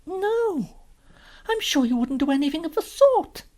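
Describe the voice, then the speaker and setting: A woman's voice: sits high, very animated, measured pace, a little mellow, voice pushed up. A woman voicing an earnest, kind-hearted, anxious little girl in a storybook, pleading and exclaiming.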